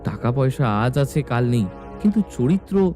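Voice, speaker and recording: A man's voice: mid-range voice, animated, normal speed, mellow, soft background music, lightly acted. A man playing an earnest, proud, poor young woodcutter in love in a cartoon, often pleading.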